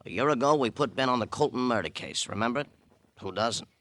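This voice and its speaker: An actor playing a grumbling, self-important insurance boss in a 1950 radio crime drama, chatty and put-upon. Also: male voice, mid-range voice, expressive, fast, fairly bright tone, close to an everyday voice. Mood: wry, knowing. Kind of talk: 1950 radio character acting.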